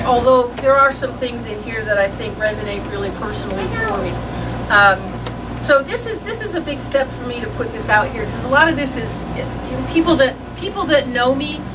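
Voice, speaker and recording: Middle-aged woman, higher-pitched voice, informal author talk, rambling, self-deprecating, very noisy room recording. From northern United States. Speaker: author introducing a book at a reading. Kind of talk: informal, anecdotal talk to an audience. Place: room at a public book reading. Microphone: far from the speaker. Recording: distant mic with loud air-conditioner-like room noise.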